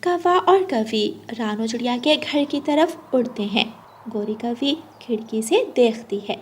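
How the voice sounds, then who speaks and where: A woman's voice: a little high, expressive, measured pace, a little hiss or hum. A woman storyteller reading scripted narration for a children's cartoon story.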